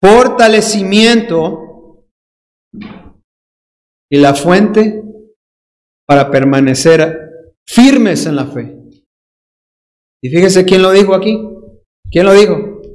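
A man's voice: high-pitched, animated, slow pace, pauses often, low-quality recording. A man preaching a sermon with a Dominican accent, emphatic and playful, drawing out key words and mimicking people's excuses. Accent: Dominican Spanish.

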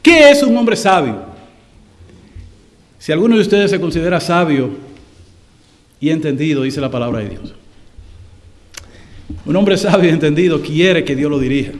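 Pastor guy, high voice, preaching to the church, gets loud and slow on the big points. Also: lively intonation. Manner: preaching to a congregation, swells louder and slows for emphasis. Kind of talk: sermon.

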